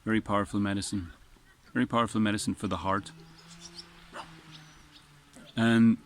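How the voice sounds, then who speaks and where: A man's voice: lowish voice, level tone, moderate pace. A man with a strong voice sits outdoors on a beach and talks in an earnest, rambling way, often restarting his sentences. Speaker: vlogger. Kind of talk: casual spoken reflection. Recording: faint wind noise outdoors.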